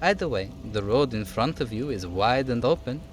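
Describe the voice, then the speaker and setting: A man's voice: medium pitch, expressive, normal speed, lightly acted. A man voicing a chatty, easygoing striking union worker in a video game, with a folksy, teasing manner. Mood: amused, cryptic.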